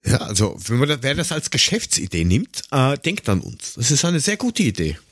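A man co-hosting a podcast in casual, joking chat, rambling and haltingly searching for words with a dry sarcastic streak. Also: medium pitch, wildly swooping pitch, slightly rough, no echo. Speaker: podcast co-host.

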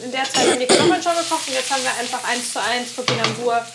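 Woman, high voice, explains step by step, lots of ums, sizzling kitchen noise. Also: very fast, creaky. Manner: explanatory, many filler ums. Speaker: guest cook. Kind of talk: explaining cooking steps. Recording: noisy kitchen with frying.

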